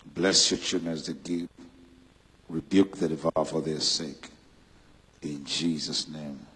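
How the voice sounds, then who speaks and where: A man from Uganda preaching a church sermon, fervent and rising to loud proclamation. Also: a bass voice, fairly even intonation, unhurried, husky, in a large hall, poor audio. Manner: fervent, slips into loud shouted preaching and scripture reading. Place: church hall.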